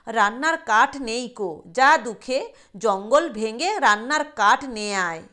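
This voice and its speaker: A crafty rustic honey gatherer voiced by a woman in an audio story, speaking high and unhurried. He coaxes in village dialect with a show of false kindness. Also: lively intonation, sharp tone. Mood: commanding. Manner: coaxing rural dialect talk.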